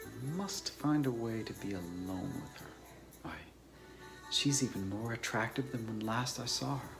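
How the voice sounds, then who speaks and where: A man's voice: fairly low pitch, a little slow, gravelly, music in the background. A man playing a courteous, awkward academic bachelor with polite, wordy phrasing in an amateur dramatized novel reading. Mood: private musing. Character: courteous, awkward English academic bachelor, privately exasperated in romance.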